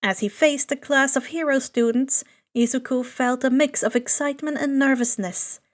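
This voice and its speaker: A woman with a fairly high voice reads fan fiction aloud. She narrates as the reader of an amateur audio story. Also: normal speed. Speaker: podfic reader.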